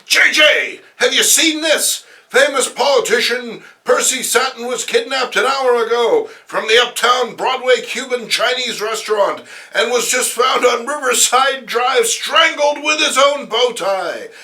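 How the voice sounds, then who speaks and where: Man acting an excitable, loud newspaperman. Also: very high voice, a little slow, hardly any pauses, far from the mic, pitched above the performer's own voice, full character voice. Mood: alarmed. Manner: breathless, emphatic delivery of bad news. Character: excitable, alarmed male newspaperman.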